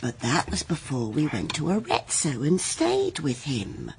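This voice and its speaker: An actress in a period radio drama playing a scheming, commanding older wife, firm, deliberate and persuasive. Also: female voice, deep voice, wildly swooping pitch, creaky, very noisy, exaggerated voice. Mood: firm, indignant.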